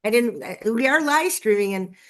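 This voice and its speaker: A woman's voice: a little high, expressive, moderate pace. A woman chatting enthusiastically as a guest on a live-streamed show, sounding a bit congested. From Las Vegas, Nevada. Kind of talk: casual live-stream chat.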